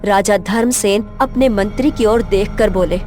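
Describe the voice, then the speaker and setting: A woman's voice: mid-range voice, moderate pace, darkish tone, faint music behind. A woman narrator reading a scripted folk tale in storytelling style.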